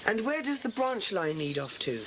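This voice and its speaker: An earnest English schoolboy who leads his club in a children's audio drama. The voice is high, carefully enunciated and a little commanding. The old cassette recording carries faint noise. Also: very animated, normal speed. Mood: polite, curious.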